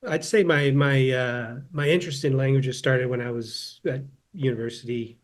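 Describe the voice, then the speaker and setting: A man's voice: medium pitch, fairly even intonation, normal speed. A man in his fifties from Southern California, a philosophy professor speaking as a guest in a casual interview, thoughtful and often repeating words as he restarts.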